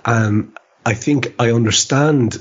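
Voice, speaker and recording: A man's voice: medium pitch, takes it slowly, slightly rough. A man with an Irish accent hosting a casual football podcast, wordy and meandering, mixing swearing with ornate phrasing. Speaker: podcast host. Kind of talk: casual chat about football. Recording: dull, lo-fi podcast audio.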